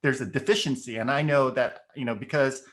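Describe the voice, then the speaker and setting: A man's voice: mid-range voice, fairly even intonation, a little fast. A middle-aged man whose first language is Korean, explaining ideas in a casual discussion and checking in with "right?" as he goes. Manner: thoughtful, explains with examples, frequent "right?". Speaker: education podcast guest.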